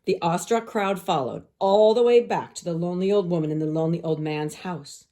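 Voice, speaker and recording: A woman teacher narrating a children's story aloud, expressive and carefully phrased for young listeners. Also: on the low side, a little fast.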